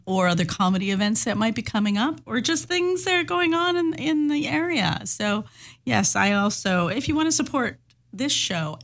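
A woman's voice: mid-range voice, a bit bright. A woman hosting a community radio comedy show, talking casually and correcting herself, often laughing between phrases. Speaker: radio host.

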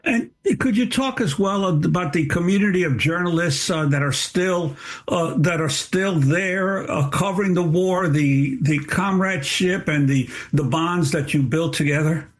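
Higher-voiced man asking halting questions, lots of uhs. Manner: halting, with repeated fillers. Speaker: co-host interviewer.

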